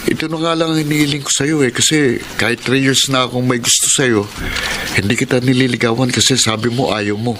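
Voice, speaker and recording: A man's voice: medium pitch, steady tone, a little fast, background noise, natural voice. A man playing a playful, persistent, lovestruck college student in a Filipino radio drama. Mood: teasing, pleading.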